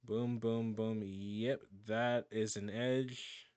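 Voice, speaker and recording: A man's voice: fairly low pitch, fairly even intonation, extremely slow, darkish tone, smooth, no echo. Chatty man reacting, dry close mic. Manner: chatty, rambling, with frequent restarts and laughs. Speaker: YouTube reaction host. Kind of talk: casual reaction commentary.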